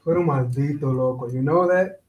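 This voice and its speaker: A man's voice: a little high, a little fast, darkish tone, lo-fi sound, close to an everyday voice. A man playing an anxious, responsible working buddy in a staged reading, exasperated and mixing in Spanish. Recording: echoey, distant from mic.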